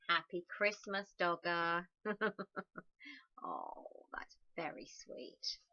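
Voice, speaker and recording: A woman's voice: on the low side, extremely slow, long pauses, dry recording, muffled recording. A woman reading a children's picture book aloud expressively, with chatty asides to young listeners. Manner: animated, exclaiming, addressing young listeners. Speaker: storybook reader.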